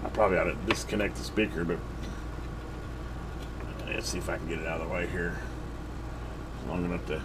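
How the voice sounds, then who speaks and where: A man's voice: low voice, fairly even intonation, fairly quick, pauses often, very noisy. Rambling man at his workbench. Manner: rambling, lots of fillers and restarts. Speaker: radio hobbyist reviewing a CB radio. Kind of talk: casual workbench review.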